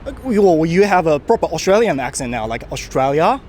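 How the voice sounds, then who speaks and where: A man's voice: on the high side, big pitch swings, brisk, a crowd in the background. A man from Japan interviewing passers-by outdoors in a city, in an upbeat, questioning way. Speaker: street interviewer. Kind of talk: casual street interview.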